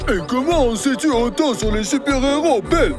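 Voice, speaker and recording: A man's voice: very high-pitched, expressive, moderate pace. A man voicing a naive, doubtful young dinosaur sidekick in a children's cartoon. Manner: naive, questioning, hesitant. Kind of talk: animated children's cartoon dub. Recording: music behind the voice.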